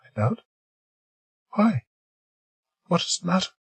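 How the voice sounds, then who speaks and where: A man reading an audiobook, voicing a frightened young woman in pain who falters and stammers, his voice raised but kept soft. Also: high voice, big pitch swings, slow, creaky, slightly rough, no echo, voice pushed up, full character voice. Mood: faltering.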